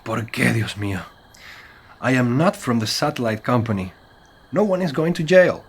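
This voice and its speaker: Man playing an exasperated Spanish-accented stranger, husky. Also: medium pitch, lively intonation, normal speed. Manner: polite, slips into Spanish exclamations. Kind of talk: comedy audio drama acting.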